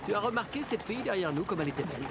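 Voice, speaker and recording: Quick, higher-voiced trusting young officer. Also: male voice, lively intonation, background noise. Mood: easygoing, admiring. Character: trusting, chatty young male army officer. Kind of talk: French cartoon dub acting.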